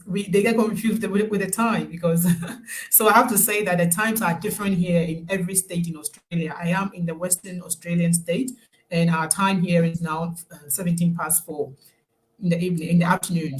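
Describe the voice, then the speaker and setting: A woman's voice: lowish voice, rough recording. A woman, a guest on a live video call who talks in a friendly, chatty way and laughs often. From Ghana. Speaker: guest, nurse turned online business owner. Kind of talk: casual live-stream interview.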